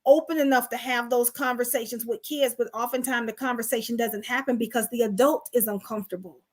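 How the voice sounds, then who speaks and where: A woman's voice: a little high, steady tone, brisk, a little mellow. A woman co-hosting a live online talk show, explaining as a sex therapist in an earnest, teaching manner and asking her guest questions.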